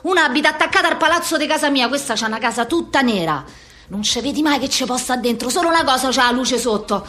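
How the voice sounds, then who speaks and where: A woman's voice: high-pitched, fast talker, bright, forceful tone. A woman playing a brash, foul-mouthed young street girl with a Roman accent, in a blunt, agitated theatrical monologue. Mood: agitated, indignant.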